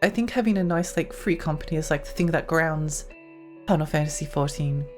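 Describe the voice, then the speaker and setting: A young woman streaming a game, chatting in a rambling way with lots of fillers and giggles, her voice getting hoarse. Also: deep voice, fairly quick, faint music behind. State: losing her voice. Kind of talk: casual live-stream chat while gaming.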